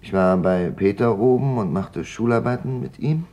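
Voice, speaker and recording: An actor playing a quiet father who recalls his wartime capture with restrained, factual delivery in a radio drama. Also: on the low side, normal speed, natural voice. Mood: restrained, somber. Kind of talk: German radio drama witness monologue.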